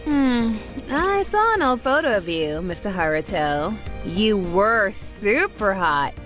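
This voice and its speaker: A woman's voice: medium pitch, sing-song, slow pace, a bit bright. A woman voicing a brash teenage wannabe rebel girl in an anime-style romcom, secretly sweet, her bravado slipping into bashful stammers. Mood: mocking bravado. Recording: music under the voice. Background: background music.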